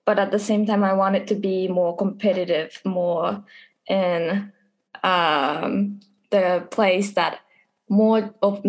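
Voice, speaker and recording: A young adult woman from Japan, an international university student, answering interview questions in a reflective, searching way. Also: on the low side.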